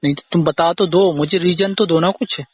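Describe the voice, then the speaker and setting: A man's voice: sits high, steady tone, brisk, slightly rough. A young man arguing casually in an accusing, repetitive, aggrieved way on a phone call recording. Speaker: boyfriend or husband on a phone call. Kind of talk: casual argumentative phone conversation.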